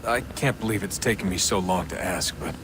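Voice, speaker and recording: A man's voice: fairly quick, noisy background, lightly acted. A man playing a laconic young reluctant hero in a video game, with a casual, wry delivery. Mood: wry, questioning. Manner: low-key, casual, wry. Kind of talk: video game cutscene acting.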